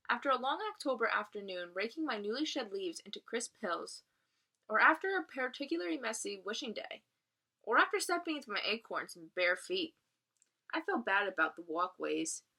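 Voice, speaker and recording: Bright-voiced woman reading a story aloud. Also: medium pitch. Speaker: reader of a children's novel read aloud, explaining as a teacher would. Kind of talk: read-aloud narration with casual explanatory asides.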